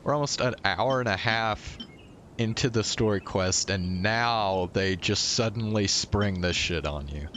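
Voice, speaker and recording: A man's voice: unhurried, a bit bright. A man giving blunt, slangy, casual commentary as he streams a game. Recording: faint noise and low rumble.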